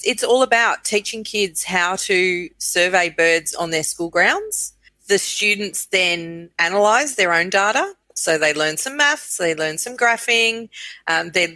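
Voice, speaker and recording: A woman's voice: on the low side, pushed and bright, no room sound, poor audio. An Australian woman being interviewed, explaining programs in an enthusiastic, conversational way. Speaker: guest, urban bird programs manager.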